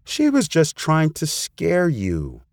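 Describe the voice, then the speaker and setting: Man playing a lively, reassuring shepherd boy. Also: a little high, slow pace, dry recording, studio quality, voice pushed up, full character voice. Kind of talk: storybook character voice.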